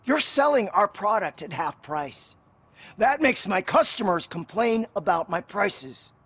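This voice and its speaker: A man's voice: high voice, expressive, unhurried, fairly bright tone, slightly rough, no room sound, full character voice. A man playing a plain-spoken, streetwise character in a crime video game, insistent and matter-of-fact. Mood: insistent, annoyed.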